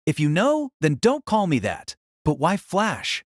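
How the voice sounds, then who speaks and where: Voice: medium pitch, wildly swooping pitch, measured pace, no room sound, studio quality. A narrator voicing a modest teenage boy swordsman who speaks hesitantly and runs himself down. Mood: flustered.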